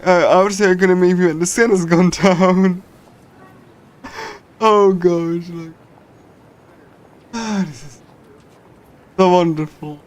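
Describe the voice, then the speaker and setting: A man reading a visual novel on a casual stream, halting and repeating himself, giggly as he comments. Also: high-pitched, fairly even intonation. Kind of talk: casual game readthrough with translation and commentary. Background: game music.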